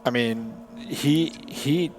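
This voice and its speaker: An Italian-accented man with a mid-pitched voice chats as co-commentator over clear background music. He is a native Italian speaker who sometimes feels his way toward the next English word. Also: extremely slow. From Italy. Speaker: guest co-commentator. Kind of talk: casual commentary chat. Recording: broadcast with music playing behind.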